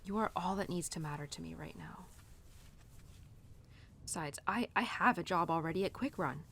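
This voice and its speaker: A woman's voice: on the low side, moderate pace, gravelly, fairly bright tone, a little hiss or hum. A young adult woman playing a stubbornly independent, conflicted cowgirl in an audio roleplay, confiding in her horse in a chatty, hesitant, informal way. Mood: chatty, wistful. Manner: chatty, hesitant, informal, peppered with "like" and "you know". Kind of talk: audio roleplay monologue, intimate and conversational.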